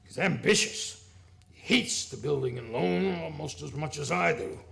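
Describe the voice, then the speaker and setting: A man playing an old miserly banker in a radio play, sly and condescending, with a gravelly, drawn-out wheedle. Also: fairly high pitch, lively intonation, a bit bright, soft background music, full character voice. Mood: mocking, needling. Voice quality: gravelly, creaky. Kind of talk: stage-style radio play acting.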